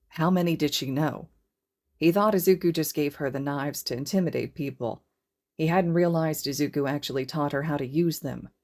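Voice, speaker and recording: A woman reading a scripted story aloud as a fiction narrator. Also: deep voice. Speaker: podfic narrator.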